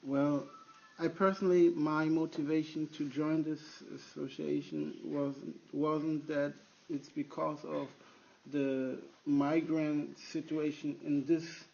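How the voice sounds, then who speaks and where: A man who works as a youth pastor, answering at length in a halting way with frequent restarts. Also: fairly high pitch, level tone, vocal fry, a little mellow. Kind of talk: conversational interview answer. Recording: dull lo-fi recording.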